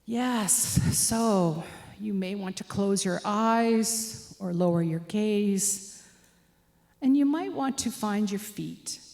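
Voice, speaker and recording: A woman leading a guided meditation, giving gentle, inviting instructions as soft suggestions. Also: mid-range voice. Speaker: meditation leader.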